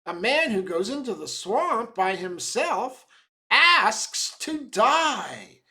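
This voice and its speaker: A man's voice: high-pitched, sing-song, slow pace, pushed and bright, exaggerated voice. Guy doing a grizzled old local, ominous n emphatic, drags words out, storybook style. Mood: ominous warning. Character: grizzled old small-town men, fearful and warning. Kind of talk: storybook dramatic reading.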